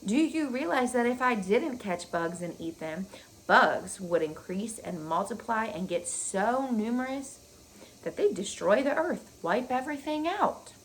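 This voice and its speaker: Woman acting a female storybook spider, thin pleasant voice, animated pitch, frank, bloodthirsty, noisy recording. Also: measured pace. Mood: defensive, proud. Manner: frank, breezy, explanatory. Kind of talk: children's storybook read aloud.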